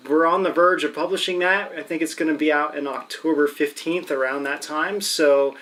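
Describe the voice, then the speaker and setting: A man's voice: on the high side, fairly even intonation, normal speed, fairly bright tone. A man hosting an interview show, chatty and friendly, with many fillers as he leads into questions. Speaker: show host.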